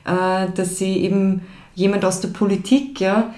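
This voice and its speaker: A woman's voice: medium pitch, little pitch movement, measured pace, mellow. A woman, a project founder talking as a podcast guest, emphatic and full of hesitation fillers. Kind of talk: casual conversation. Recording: roomy echo.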